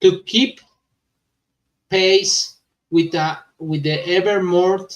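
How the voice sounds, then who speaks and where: A man with a native Spanish speaker's accent hosting a crypto news vlog, reading news and commenting informally in halting, stumbling English. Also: sits high, steady tone, recorded from a distance, muffled recording.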